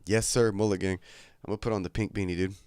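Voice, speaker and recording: A man's voice: sits low, fairly even intonation, quick pace, a little mellow, clear, resonant tone, no room sound. A young man hosting a casual livestream from his bedroom, chatting in a laid-back, crude, joking way, slightly congested from a cold. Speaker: livestream host.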